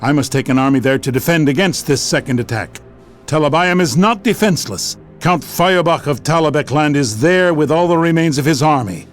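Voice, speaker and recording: A man's voice: on the high side, expressive, a little fast, soft background music, close to an everyday voice. Man as a grim, commanding marshal. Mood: urgent, firm. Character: stern, weary military marshal of a fantasy empire. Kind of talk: fantasy game cutscene acting.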